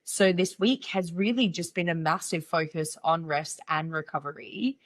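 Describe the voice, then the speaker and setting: A woman's voice: on the low side, steady tone, normal speed. A young woman hosting a casual solo podcast, with a nasal voice from a cold, talking in a candid, playful and chatty way. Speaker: podcast host.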